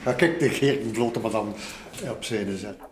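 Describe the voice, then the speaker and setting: A man's voice: fairly low pitch, normal speed, slight background noise. An older man with a Flemish accent chatting casually as an interviewee, explaining and telling stories with repeated little tags. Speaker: interviewee, art collector. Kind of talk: casual conversational storytelling. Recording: roomy echo with music behind. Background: music.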